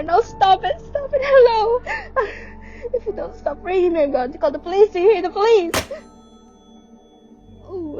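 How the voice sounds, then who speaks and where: A woman's voice: very high voice, dark tone, muffled recording. A young woman playing a nervous, demanding invalid woman with plaintive, imperious outbursts in an amateur radio play. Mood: hysterical. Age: young adult. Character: frantic, demanding invalid woman alone in bed. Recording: dramatic music laid under the voice.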